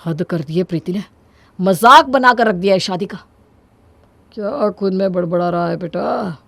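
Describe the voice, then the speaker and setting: A woman's voice: mid-range voice, moderate pace, creaky, fairly bright tone, no echo. Female performer doing older dad, audio drama, wry, chiding, reproachful, dry sound. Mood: wry grumbling.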